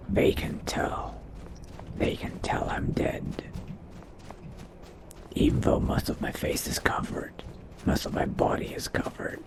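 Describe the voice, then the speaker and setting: A man voicing a wary, hungry undead vampire in a game roleplay, muttering to himself in a breathy, gravelly whisper. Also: natural voice. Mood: brooding. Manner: slow, hushed, muttering to himself. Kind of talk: in-character video game roleplay narration.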